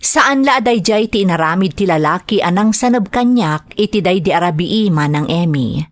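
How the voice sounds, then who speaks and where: A woman's voice: lowish voice, expressive, normal speed, natural voice. A woman acting an earnest, persuasive mother of a grown son in an Ilocano radio drama. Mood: sorrowful recounting.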